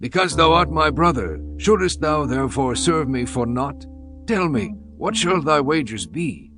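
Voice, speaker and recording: A man's voice: fairly high pitch, animated, a little slow, over music. A man voicing a shrewd older kinsman in a dramatized scripture reading, formal and persuasive. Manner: formal, persuasive, archaic diction. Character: shrewd older male kinsman and host, bargaining.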